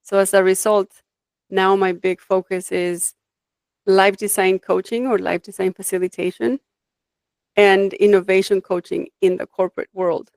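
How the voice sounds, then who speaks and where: A woman's voice: medium pitch, level tone, normal speed, vocal fry, a little mellow, no echo. Reflective woman from Mexico, dry sound. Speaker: guest, life design coach. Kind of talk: conversational interview, personal storytelling.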